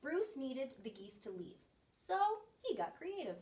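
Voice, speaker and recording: Lady reading a kids book out loud, highish really animated voice, a bit far from the mic. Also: unhurried. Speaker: story reader. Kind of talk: expressive picture book read-aloud for children.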